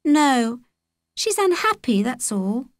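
A woman's voice: high-pitched, big pitch swings, takes it slowly, no room sound, studio quality, voice pushed up, full character voice. A British woman voicing an earnest, hesitant young girl in a children's audiobook. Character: young girl, earnest, unsure, a little anxious.

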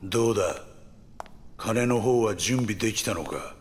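An actor playing a smug, middle-aged gangster villain in a video game drama, with a mocking, condescending drawl full of menace. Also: male voice, lowish voice, unhurried, a little hiss or hum, natural voice. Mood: cold, commanding. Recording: dramatic music behind.

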